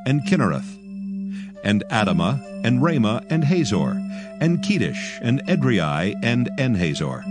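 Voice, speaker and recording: A man reading scripture as a narrator in a formal, solemn, measured and declamatory manner. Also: on the low side. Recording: prominent music bed. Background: music.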